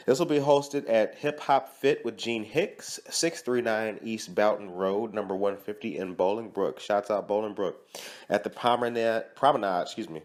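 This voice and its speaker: A man hosting a morning podcast, reading community announcements and interviewing a guest in a lively, friendly way. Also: fairly low pitch. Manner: lively, friendly, self-correcting with a laugh. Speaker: podcast host.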